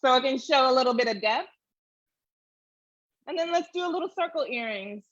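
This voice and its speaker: A woman's voice: sits high, expressive, brisk, a bit bright, no echo, highs cut off. A woman giving a casual, chatty step-by-step drawing tutorial, thinking aloud as she goes. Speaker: illustrator demonstrating drawing.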